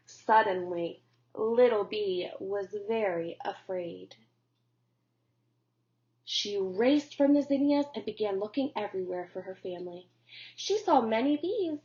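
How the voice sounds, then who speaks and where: A woman teacher reads a children's storybook aloud, slow and gentle. Her voice sits fairly high, and the recording is dull and lo-fi.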